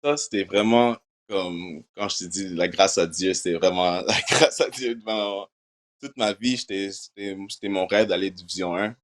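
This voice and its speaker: A young man from Quebec with a notably low voice, answering questions in a relaxed interview. His first language is English, and he talks loosely, dropping English filler words between his French phrases. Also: moderate pace. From Quebec, Canada. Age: young adult. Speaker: university football player.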